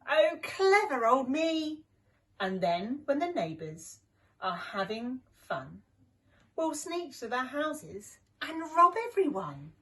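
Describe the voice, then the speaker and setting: A woman's voice: sits high, big pitch swings, takes it slowly, bright, forceful tone, far from the mic, pitched above the performer's own voice, exaggerated voice. A woman voicing a cheeky, scheming male robber dog in a theatrical storybook style. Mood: sly, pleased. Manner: exclamatory, sly, theatrical storybook delivery.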